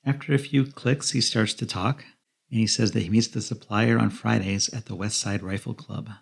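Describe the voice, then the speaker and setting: A man narrates a film recap as a podcast co-host. His voice is fairly low and husky, with a marked creak running through it. He tells the story in plain narration. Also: steady tone, normal speed.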